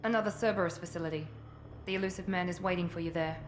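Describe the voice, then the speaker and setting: An actress voices a self-assured covert operative in a video game cutscene. She has a low female voice and speaks in a haughty, businesslike, clipped way. Music is clear behind her. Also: fairly even intonation, fast talker, close to an everyday voice. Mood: cool, blunt.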